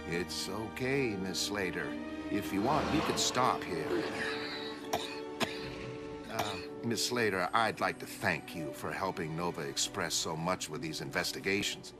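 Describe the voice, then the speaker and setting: A man voicing a polite male newspaper reporter in a motion comic, with a measured, coaxing manner. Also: sits low, loud music behind the voice. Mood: soothing, polite. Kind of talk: motion comic dramatic reading.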